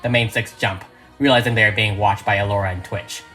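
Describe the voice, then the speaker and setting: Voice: low voice, fairly even intonation, a little fast, bright, forceful tone, faint music behind. A narrator reading a script aloud in a dramatic, emphatic, theatrical style.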